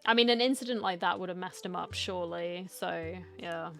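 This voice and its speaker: A woman's voice: lowish voice, a little fast, creaky. Casual woman reacting to a game. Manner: conversational, muses aloud at the game. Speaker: gaming video host. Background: faint game music.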